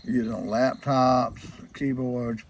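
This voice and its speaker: A man's voice: mid-range voice, level tone, takes it slowly, creaky, a little hiss or hum. A man talking casually and unscripted through a hands-on demonstration, folksy and repetitive, posing questions and answering them himself. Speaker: hobbyist demonstrating old radio restoration.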